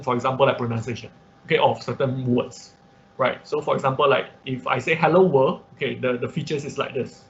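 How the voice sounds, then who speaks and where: A man who speaks as a gives an informal explanatory online lecture, halting and repeating himself, often checking in with short tag questions. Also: a little high. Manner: frequent tag questions like "okay" and "right". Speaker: online class instructor. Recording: dull lo-fi online-class audio with noise.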